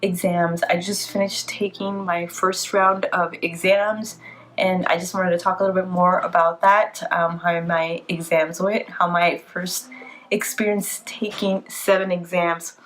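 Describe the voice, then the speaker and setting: A woman's voice: on the low side, flat delivery, a little slow, a bit bright, a little hiss or hum. A woman in her twenties, congested from a cold, chatting casually and a bit tiredly to camera as a vlogger. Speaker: vlogger, PA student. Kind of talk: casual vlog talk to camera.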